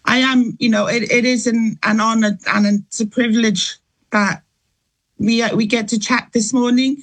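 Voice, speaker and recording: A middle-aged woman from Niue speaking in an interview, unhurried, with frequent pauses and ums. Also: a little high, steady tone. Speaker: interview guest, mother. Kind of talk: casual radio interview.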